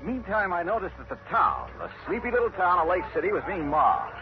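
Animated old-radio private investigator. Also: male voice, high-pitched, a little fast, over music. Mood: narrating. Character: shrewd, brisk male private insurance investigator narrating his case. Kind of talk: 1950s radio drama acting. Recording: noisy, muffled old radio recording.

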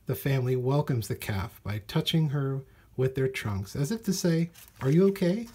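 A man reads a children's nature book aloud. His voice sits at a middling pitch with a noticeably breathy, husky grain. He phrases each sentence deliberately, as narration for young listeners. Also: expressive, darkish tone. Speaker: storybook reader. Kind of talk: read-aloud children's nonfiction storybook narration.

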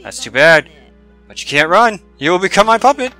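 A man's voice: high-pitched, very animated, fairly quick, bright, forceful tone, faint music behind, voice pushed up, heavily acted. A man voicing a taunting, sinister female wind demon, reading game dialogue in a menacing, theatrical way. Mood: menacing, gleeful.

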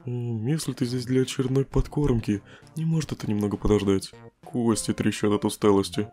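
A man's voice: medium pitch, fairly quick, dark tone, lightly acted. A man voicing a lazy, joking male skeleton in a fan comic dub, drawling and chuckling at his own puns. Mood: laid-back.

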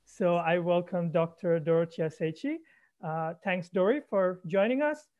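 Male host, enthusiastic and a bit halting, introducing a guest on a headset, muffled lo-fi call audio. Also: high voice. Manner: warm, enthusiastic, a little halting. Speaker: event host. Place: home room. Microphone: headset on a video call.

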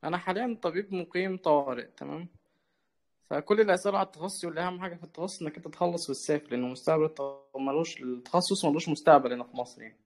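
A man's voice: a little high, racing. A young man with an Egyptian Arabic accent, a caller asking casual questions on an online voice call. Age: young adult.

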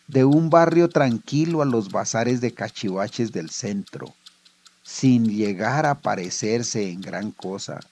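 A man reading scripted literary narration in a measured storytelling manner. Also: medium pitch, a little mellow.

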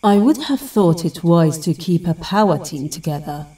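A mellow-voiced, solemn guardian warrior played by a woman speaks with grave formality. Her wise, measured audio drama delivery sits a little back from the microphone. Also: on the low side, lively intonation, distant mic, natural voice.

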